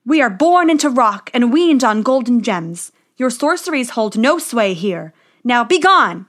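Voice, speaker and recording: A tough dwarf miner from a fairy tale, as a woman storyteller puts him on. He is proud and defiant, blustering loudly at his foe. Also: medium pitch, sing-song, takes it slowly, full character voice. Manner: loud, blustering.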